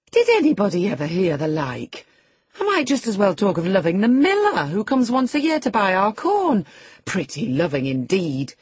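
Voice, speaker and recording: A woman voicing a stern housekeeper who scolds a girl with sharp scorn. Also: mid-range voice, slightly rough, no echo, voice pushed up.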